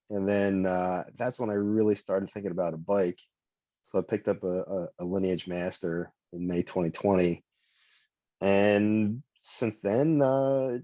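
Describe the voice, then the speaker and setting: A middle-aged man with a low, mellow voice talks in a relaxed, rambling way. He sounds dull and lo-fi, as if coming in over a remote line, and dry. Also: no echo. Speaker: podcast guest. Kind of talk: casual storytelling.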